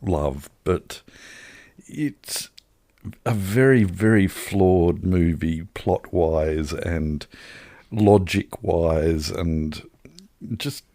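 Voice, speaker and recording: A man's voice: low-pitched, very slow, gravelly, dark tone, dry recording, studio quality. A man, co-hosting a film review podcast in casual chat with wordy, wry, colourful phrasing. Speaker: podcast co-host reviewing films.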